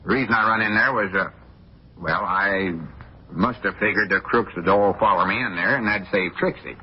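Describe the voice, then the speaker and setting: A self-important small-town blusterer in an old radio comedy, speaking with a rural Arkansas drawl. His lowish, husky voice swings freely as he brags and backtracks. The recording is muffled and dated. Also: moderate pace. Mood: flustered, indignant. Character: boastful, blustering small-town old-timer posing as hero. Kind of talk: 1940s radio comedy acting.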